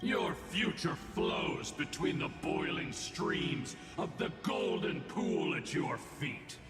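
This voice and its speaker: An actor playing a solemn, imperious godlike being in a game cutscene, making grandiose proclamations. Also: male voice, a little high, animated, takes it slowly, vocal fry, distant mic, heavily acted. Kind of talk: scripted game cutscene acting. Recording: echoey hall reverb, loud orchestral music behind.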